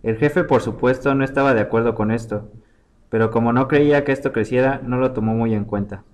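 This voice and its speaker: Male storyteller, medium pitch, reading scripted literary narration. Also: fairly even intonation, fairly quick.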